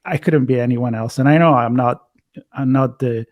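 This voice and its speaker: A man's voice: medium pitch, normal speed, no echo, highs cut off. A man with an Italian accent, a podcast host chatting casually in a musing, loosely phrased way. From Italy. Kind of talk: casual conversational interview.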